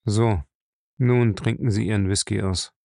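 A man voicing a grim, brutal captain, curt, gruff and threatening, in an audiobook. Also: fairly low pitch, fairly even intonation, measured pace, a little mellow, dry recording, studio quality. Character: grim, brutal captain, a menacing male interrogator. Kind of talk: audiobook character acting.

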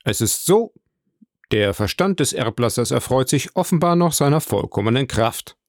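A man's voice: medium pitch, lively intonation, moderate pace, dry recording, clean studio sound, close to an everyday voice. A man reading a formal, businesslike notary. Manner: formal questioning. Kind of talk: audiobook character voice.

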